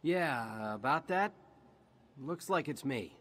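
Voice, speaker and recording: An actor playing a wisecracking human soldier in an alien warrior body, with a dry, sarcastic manner, in a video game. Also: male voice, mid-range voice, expressive, takes it slowly, a bit bright. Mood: casual, resolute. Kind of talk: video game dialogue acting.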